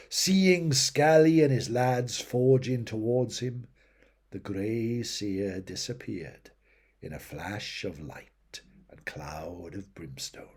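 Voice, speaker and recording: Husky man narrating fantasy battle fiction dramatically. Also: mid-range voice, darkish tone. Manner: theatrical, emphatic, rising and falling in intensity. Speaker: audiobook reader of fantasy fiction.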